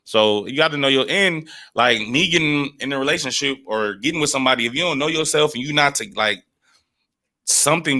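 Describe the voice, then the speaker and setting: A man in his late twenties talks in loose, blunt, profane sentences. His voice has a bright, forward edge. He sounds laid-back as he reasons things out on a podcast. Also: mid-range voice. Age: 20s. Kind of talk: casual chat.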